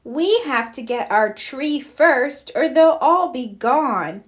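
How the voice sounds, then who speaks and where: Storybook girl rabbit, bossy big sister, voiced by a woman; high, swooping, emphatic delivery. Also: lively intonation, takes it slowly. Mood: earnest, bossy.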